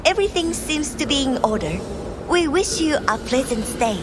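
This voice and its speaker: A woman's voice: sits high, expressive, normal speed. A woman playing a formal, polite luxury hotel receptionist in a video game, with courteous customer-service phrasing. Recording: clear ambient noise behind.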